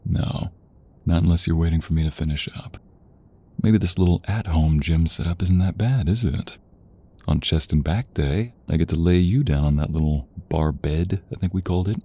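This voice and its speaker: A man's voice: low voice, moderate pace, warm tone, slightly rough. A man playing a playful, doting boyfriend at a home gym in a romance audio roleplay, chatting in a teasing, affectionate way with small grunts. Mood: teasing, chatty.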